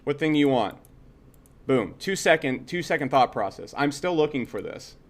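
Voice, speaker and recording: A man's voice: on the high side, expressive, normal speed. A man teaching a casual screen-share tutorial, speaking bluntly and conversationally, repeating himself and checking in with short tags. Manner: blunt, opinionated, swears freely. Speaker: software instructor.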